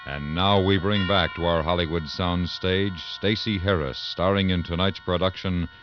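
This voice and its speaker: A man's voice: low voice, steady tone, normal speed. An American man announcing a 1950s radio thriller in a formal, dramatic, scripted manner. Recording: dull vintage radio recording, music behind.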